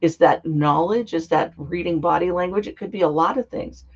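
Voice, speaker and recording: A woman guest, slightly hoarse from a cold, explaining in an earnest, heartfelt way. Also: lowish voice, normal speed. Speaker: guest psychic. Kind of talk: interview conversation. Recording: dull lo-fi sound.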